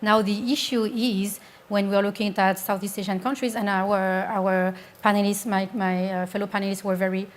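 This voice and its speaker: A woman's voice: medium pitch, flat delivery, moderate pace, few pauses. A woman from France speaking as a panelist, earnest and insistent. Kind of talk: panel discussion.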